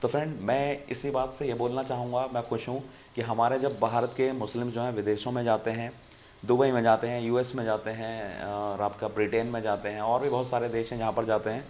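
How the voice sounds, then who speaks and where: Male channel host, casual explainer, mid-pitched, conversational, light background noise. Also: little pitch movement, a little fast, a little mellow. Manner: conversational, keeps saying "friend" to viewers.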